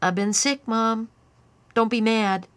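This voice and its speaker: A woman's voice: a little high, fairly even intonation, slow pace, fairly bright tone, pitched above the performer's own voice. A woman voicing a giggly tipsy boy of ten. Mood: sulky. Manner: giggling, slurry, singsong teasing.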